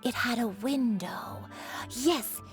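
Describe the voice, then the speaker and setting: A woman playing a wistful elderly granny in a video game, talking in a hesitant, halting way. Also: fairly high pitch, music in the background. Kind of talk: video game character acting.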